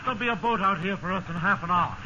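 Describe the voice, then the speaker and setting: An actor playing a commanding, reassuring sea captain adrift in a lifeboat, in 1950s radio drama style. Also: male voice, high voice, fast, sharp tone. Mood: excited hope. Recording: muffled noisy old radio recording.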